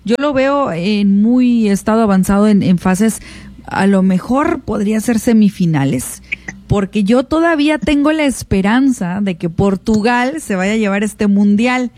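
A woman's voice: medium pitch, unhurried, a little mellow, dry recording. A woman from Mexico giving enthusiastic, opinionated views on a sports talk show. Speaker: panelist.

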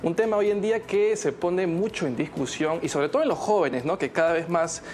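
Higher-voiced Peruvian man hosting an interview. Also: fairly quick, a little mellow, a little hiss or hum. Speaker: radio show host, substitute.

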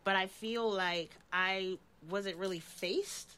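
A woman's voice: medium pitch, fairly even intonation, takes it slowly, pushed and bright, a little hiss or hum. Chatty, affirming woman around fifty. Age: about 50. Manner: affirming, often murmurs agreement while listening. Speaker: talk show host. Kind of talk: casual community talk conversation.

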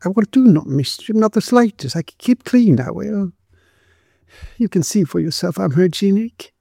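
Storybook role: timid, destitute young woman played by a man, high shrill lisp, halting, pleading, humble. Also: expressive, vocal fry, mellow, husky, no echo, studio quality, exaggerated voice. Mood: tearful, pleading. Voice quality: shrill, lisping.

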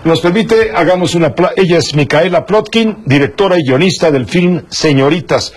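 A man's voice: fairly high pitch, expressive, normal speed, slightly rough. A man hosting a broadcast interview in a conversational style, often repeating words as he feels for the next one. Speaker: host interviewing a guest.

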